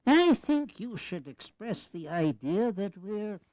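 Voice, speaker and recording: Genial, fussy elder statesman, slow, hesitant, very animated, for historical audio drama. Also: high voice, no echo, full character voice. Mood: mildly fussy. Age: older. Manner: hesitant, drawn-out, gently pedantic.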